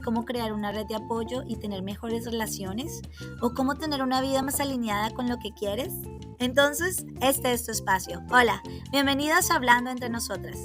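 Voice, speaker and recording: A woman with a fairly high voice hosts a podcast interview, pausing and drawing out words as she goes. She sounds a little away from the microphone in a dry space, with faint music behind her. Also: distant mic. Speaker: podcast host.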